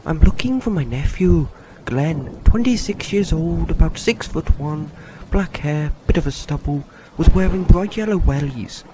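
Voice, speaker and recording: A man plays a direct, questioning character in a sci-fi audio drama. His voice is fairly high and very animated, over clear background noise. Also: measured pace. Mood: concerned.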